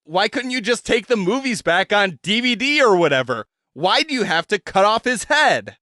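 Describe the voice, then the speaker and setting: A no-nonsense young woman reporter, put on by a male reader, pitched well above his own voice. She talks in a firm, accusing way, and the sound is bright and dry. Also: sing-song, a little slow, no room sound, exaggerated voice. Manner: accusing, authoritative.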